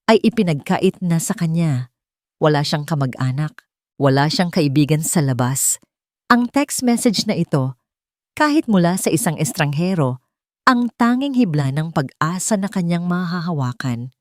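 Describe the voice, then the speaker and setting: A woman's voice: fairly low pitch, a little mellow, no room sound, studio quality. A woman narrating a scripted romantic melodrama in a dramatic storytelling style.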